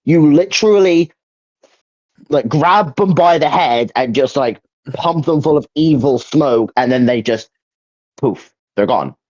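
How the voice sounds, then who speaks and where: A man's voice: a little high, expressive, a little slow, a little mellow. A young man chatting casually as he hosts a video game ranking, hesitant and repeating himself as he searches for words. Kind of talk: casual opinion chat about video games. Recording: dull, lo-fi mic.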